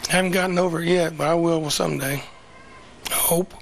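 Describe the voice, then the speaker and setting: Hoarse, gravelly local track commentator man. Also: on the high side, fairly even intonation, fairly quick, a little hiss or hum. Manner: chatty, rambling, folksy. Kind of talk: casual play-by-play commentary. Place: outdoor track meet sidelines.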